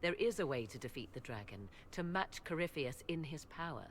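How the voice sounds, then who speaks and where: Proud, mystical game sorceress: low register, grave, measured, solemn delivery. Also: female voice, expressive, slight background noise. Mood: grave, resolute. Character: grave, proud sorceress who hears ancient voices. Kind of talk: fantasy game dialogue.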